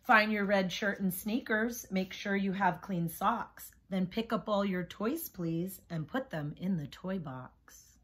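A woman's voice: mid-range voice, slow, hardly any pauses, husky. A woman voicing a firm but encouraging storybook mother who gives orders and coaxes. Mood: brisk, instructive. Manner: giving orders, coaxing. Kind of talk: picture-book character reading.

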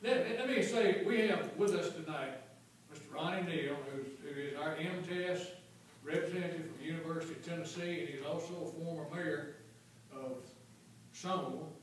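A meeting chair speaks in a brisk, pointed way with a slightly high voice. He sounds far off in a very echoey chamber. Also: slight background noise. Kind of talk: meeting discussion.

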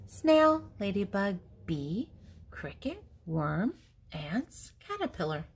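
Slow, husky older woman reading to children. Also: mid-range voice, fairly bright tone. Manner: deliberate, teacherly, pausing between words. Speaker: host reading a children's picture book aloud. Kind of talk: read-aloud for young children, with questions and answers.

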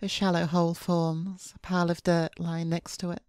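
Low, even-voiced woman reading aloud. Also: moderate pace, clean tone, no echo, studio quality. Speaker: VTuber streamer. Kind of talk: reading visual novel narration aloud. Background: faint game music.

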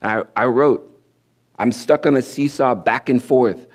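A man's voice: mid-range voice, normal speed, a little mellow. A man in his thirties performing spoken word poetry on a church stage through a headset mic. He speaks in a rhymed, sing-song cadence that swells, then drops to near-whispers. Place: indoor church stage with curtains.

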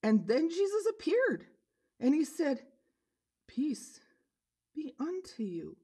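A woman voicing an earnest disciple puppet, telling a story to children in a deliberate manner. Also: sits high, very animated, warm tone, pitched above the performer's own voice, exaggerated voice. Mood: earnest awe. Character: earnest disciple puppet recounting Jesus' return.

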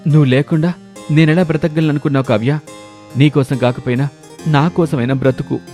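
A man's voice: on the high side, fairly even intonation, a little fast, warm tone, faint music behind, close to an everyday voice. A man voicing a devoted, distressed young husband who speaks earnestly, in a narrated story. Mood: pleading.

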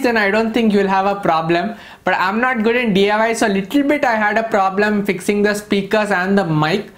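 A man in his twenties with an Indian English accent and a high voice for a male speaker. He talks casually as a product reviewer, explaining things informally and haltingly, often circling back over a point. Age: 20s. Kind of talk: casual to-camera gadget review.

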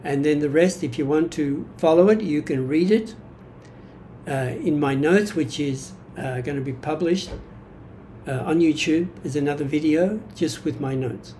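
A man in his seventies with an Australian accent, giving a reflective personal monologue from his notes in a halting, deliberate manner. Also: fairly high pitch, dark tone, a little hiss or hum. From Greek-born, grew up in Australia. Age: 70s. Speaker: vlogger sharing personal history. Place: small indoor room at home.

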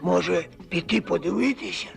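A man playing a puzzled, slow-witted cartoon character with a drawling, bemused delivery in a puppet film. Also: high voice, creaky. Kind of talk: Soviet puppet cartoon voice acting. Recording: film soundtrack under loud music.

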